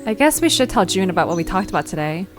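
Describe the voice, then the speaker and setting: A woman's voice: fairly low pitch, very animated, fast talker, over music. A woman playing a cautious, hesitant young woman talking with friends in an audio drama. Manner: hesitant, questioning.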